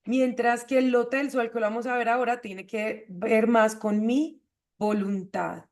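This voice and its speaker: Female host, slightly high voice, didactic delivery with rhetorical check-in questions. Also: steady tone, measured pace, a little mellow. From Colombia. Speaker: host of an astrology show. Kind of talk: explanatory conversation on astrology.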